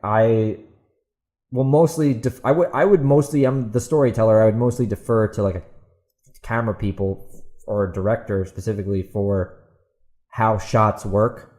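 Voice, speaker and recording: A man's voice: fairly low pitch, fairly even intonation, normal speed, a little mellow. A man hosting a podcast in a casual, opinionated rant, speaking bluntly and emphatically with incredulous rhetorical questions. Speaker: podcast host and author.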